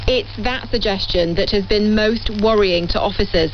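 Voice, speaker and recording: A woman's voice: medium pitch, normal speed. Woman reporter, dull radio sound. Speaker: news reporter. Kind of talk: scripted news report. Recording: muffled, lightly noisy shortwave radio relay.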